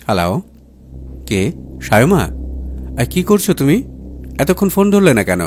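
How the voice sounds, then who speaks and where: A man's voice: on the high side, big pitch swings, measured pace, a little mellow, slight background noise, pitched above the performer's own voice. A man voicing a stern, scheming businessman husband in an audiobook, with grave, emphatic, clipped phrases. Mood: probing. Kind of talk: acted audiobook dialogue.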